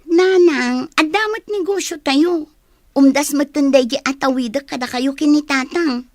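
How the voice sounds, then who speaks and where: An actress as a lazy, stubborn Ilocano schoolgirl daughter in a radio drama. Her voice is high, recorded close and dry. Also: measured pace, dry recording. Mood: defiant.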